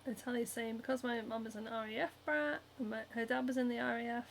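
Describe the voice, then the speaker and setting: A giggly young woman with a Northern English accent chats casually on a livestream, reading out viewer comments between lots of ums and likes. Her voice sits a little high, with a faint hiss behind it. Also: steady tone, fast, creaky. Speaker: cosplayer and livestream host. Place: home, hand sewing while streaming.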